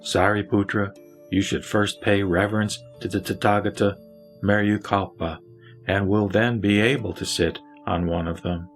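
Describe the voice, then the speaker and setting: Guy doing a wise old-style lay sage, low steady voice, slow and solemn, soft guitar underneath. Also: lightly acted. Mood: teaching. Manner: slow, measured, solemn reading. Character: Vimalakirti, wise male lay sage, patient teacher. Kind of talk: scripted audio drama dialogue.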